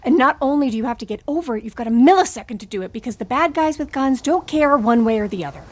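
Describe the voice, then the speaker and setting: An actress playing a hard-bitten, world-weary secret agent in a comedic spy audio drama, deadpan and terse. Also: female voice, sits high, quick pace. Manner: deadpan, terse, matter-of-fact.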